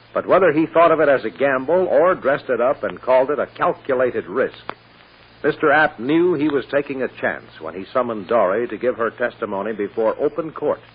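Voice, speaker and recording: A man with a husky voice giving measured, suspenseful scripted narration for a 1940s-style radio drama. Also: medium pitch, a little hiss or hum. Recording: dull old radio recording.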